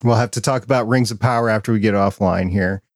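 A man's voice: lowish voice, fast talker, dry recording. Male podcast host, close dry sound. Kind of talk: casual interview hosting.

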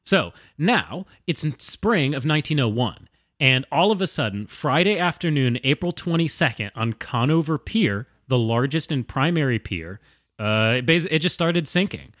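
A man's voice: medium pitch, sing-song, normal speed, fairly bright tone, dry recording, studio quality. A man co-hosting a podcast, telling a story in a casual, wry, profane way.

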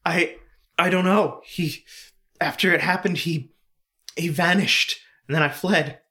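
Man doing a scared stammering young guy, shaky, halting, slightly high voice. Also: pushed and bright. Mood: frightened, stammering.

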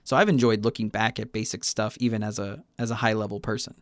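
A man hosting a casual podcast, talking in a relaxed, chatty way and laughing often. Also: on the low side, fast talker, dry recording. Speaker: podcast host.

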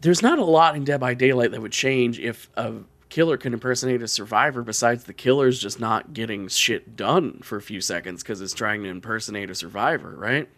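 Male podcast host, mid-pitched voice, dry close recording, casual chat, wry and sweary. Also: a little fast, few pauses, fairly bright tone, no echo.

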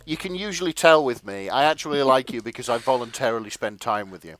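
A man with a theatrical, expressive delivery, co-hosting and narrating a comic dramatic reading with casual chat. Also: medium pitch, fast talker, poor audio. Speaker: narrator and co-host.

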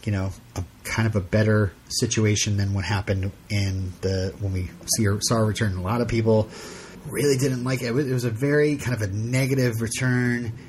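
A man hosting a podcast in casual chat, rambling and halting with many fillers and frequent laughs. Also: lowish voice, fairly even intonation, a little mellow, a little hiss or hum. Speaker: podcast host.

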